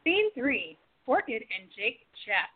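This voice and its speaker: A woman's voice: a little high, big pitch swings, takes it slowly, husky. A woman narrator reading scripted scene introductions and credits for a radio play. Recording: tinny phone-like line.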